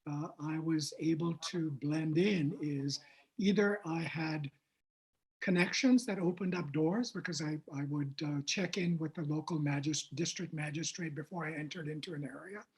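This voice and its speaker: A man whose first language is Hindi moderates a panel discussion. His voice sits a little high for a man, and he muses aloud, hedging with "uh" and "um" as he goes. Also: normal speed, rough recording.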